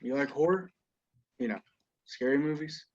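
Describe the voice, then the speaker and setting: A man's voice: fairly high pitch, moderate pace, darkish tone, close to an everyday voice. A man playing a cocky, slyly menacing young horror fan in a script read-through over a video call, with dull, muffled sound. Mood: friendly, probing.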